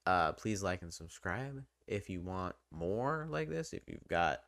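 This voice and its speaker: A man's voice: deep voice, sing-song, measured pace, fairly bright tone, no room sound, clean studio sound. A man giving a casual, opinionated review in a rambling, conversational way, often hedging as he goes. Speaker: video reviewer.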